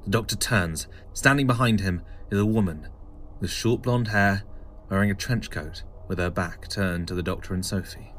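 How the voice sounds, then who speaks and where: A man's voice: sits low, moderate pace, a bit bright, a little hiss or hum. A man reading scripted narration for an audio drama.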